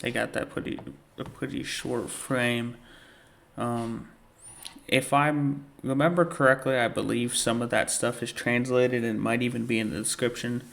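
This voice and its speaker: A man hosting a reaction video, casually commenting and reading captions aloud in a halting, thinking-aloud way. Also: medium pitch, flat delivery, gravelly, a bit bright, a little hiss or hum.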